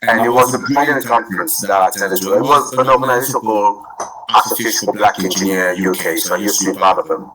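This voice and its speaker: A man who grew up in Cameroon presents in a webinar. He speaks over a video call, restarts often and fills pauses. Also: fairly low pitch, rough recording. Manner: many restarts and fillers, rising energy.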